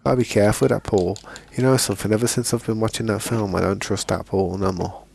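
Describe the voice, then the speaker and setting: A man's voice: lowish voice, steady tone, fast, gravelly, darkish tone, slightly rough. A man streaming a game, rambling in a laid-back way and often saying "man", with a lingering cough. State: still has a lingering cough. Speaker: gaming streamer. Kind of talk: casual gameplay chat.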